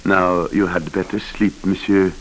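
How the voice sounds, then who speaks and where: Imperious Haitian official. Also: male voice, sits low, fairly even intonation, a little slow, fairly bright tone, slight background noise, rough recording. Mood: assured, ominous. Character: commanding, sardonic Haitian official, amused and theatrical. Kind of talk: 1940s radio acting.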